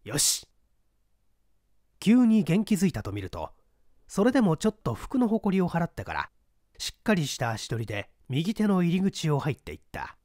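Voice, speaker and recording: A man narrating a mystery story aloud in a theatrical, expressive reading style. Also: on the high side, brisk, no room sound, studio quality. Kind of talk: scripted audiobook narration.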